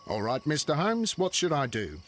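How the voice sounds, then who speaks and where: Eager, lively police constable. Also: male voice, fairly high pitch, moderate pace, slight background noise. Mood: obliging. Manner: polite, obliging. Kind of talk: video game voice acting.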